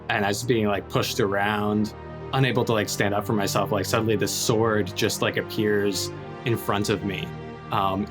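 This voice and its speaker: Lowish voiced guy roleplaying casually, kinda halting, music clearly playing under him. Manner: hesitant, halting, informal. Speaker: player. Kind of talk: casual in-character tabletop roleplay.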